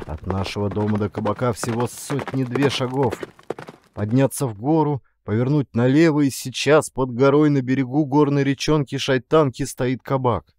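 A man narrating a literary story as an audiobook, with expressive, suspenseful delivery. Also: mid-range voice, normal speed, no echo.

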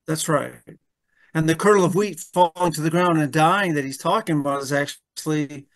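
A man's voice: on the high side, moderate pace, fairly bright tone. A man in his fifties, a pastor leading a Bible study over a video call from home, who often restarts his sentences and keeps asking "right?". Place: room at home. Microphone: webcam on a video call.

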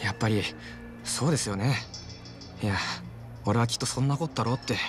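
A man playing a soft-hearted young streetwise sidekick in a game cutscene, talking in an informal, slangy way. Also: medium pitch, brisk, over music, lightly acted. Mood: relieved, trusting. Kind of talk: dramatic game cutscene acting.

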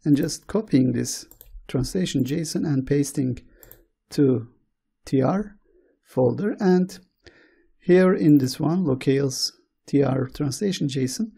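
A man with a Turkish accent, a native Turkish speaker, walking through code slowly, step by step, in a screencast tutorial. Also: mid-range voice, gravelly, warm tone, husky. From Turkey. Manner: slow, step-by-step walkthrough. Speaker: programming tutor.

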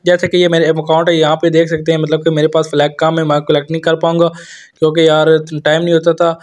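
A man's voice: fairly high pitch, completely flat delivery, quick pace. A man with a Pakistani accent giving a casual, step-by-step gaming tutorial voice-over as a YouTuber.

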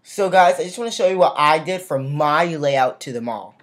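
Chatty young woman, slightly low voice. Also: animated, moderate pace. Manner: chatty, frequent "like" and "guys", self-restarts. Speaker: game tutorial creator. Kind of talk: casual voice-over tutorial.

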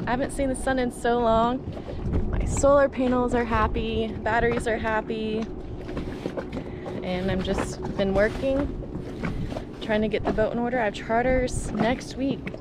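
A woman's voice: on the high side, fairly even intonation, unhurried. A young woman in her twenties talking casually to an action camera held at arm's length on a sailboat deck, chatty and upbeat. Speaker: sailing vlogger. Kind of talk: casual vlog narration. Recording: loud wind and water noise.

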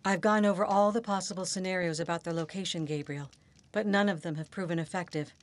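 Woman playing a low, restrained, devoted assistant. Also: fairly even intonation, quick pace, lightly acted. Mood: regretful. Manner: formal, restrained, earnest. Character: devoted, composed female assistant to a villain. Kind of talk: dramatic animated game cutscene acting.

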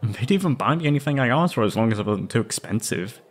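A young man acting an anxious, wistful former captive in a scripted roleplay audio drama, speaking hesitantly and haltingly. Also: mid-range voice, lively intonation, breathy edge. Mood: defensive, uneasy.

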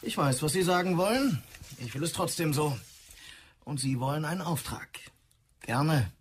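A man's voice: a little high, moderate pace, slight background noise, close to an everyday voice. An actor playing an old-fashioned, stubborn businessman, courteous but insistent, in an audio drama. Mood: firm, courteous. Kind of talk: sci-fi audio drama dialogue.

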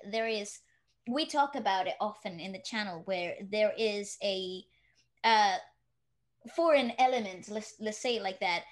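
A woman's voice: medium pitch, a little slow. A young woman co-hosting a podcast from a living room, reflective and searching for words in casual conversation. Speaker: podcast co-host.

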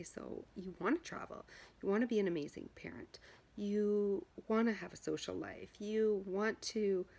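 Woman, medium pitch, husky, conversational, emphatic, repetitive advice-giving to camera. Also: a little mellow. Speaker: health vlogger giving self-help advice. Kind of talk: informal direct-to-camera coaching. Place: small furnished room at home, indoors.

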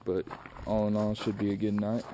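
A man's voice: on the low side, completely flat delivery, moderate pace, warm tone, a little hiss or hum. A young man talking casually to an action camera outdoors while fishing, in a plain, matter-of-fact way. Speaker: fishing vlogger. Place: outdoors by a truck and a river at dusk. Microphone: wide-angle action camera held close.

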